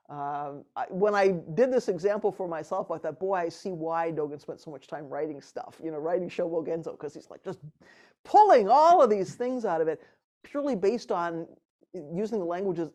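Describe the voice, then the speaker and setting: A woman leading a retreat discussion in a relaxed, animated way, laughing often and restarting her sentences. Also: fairly low pitch. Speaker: retreat teacher. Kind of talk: casual teaching talk.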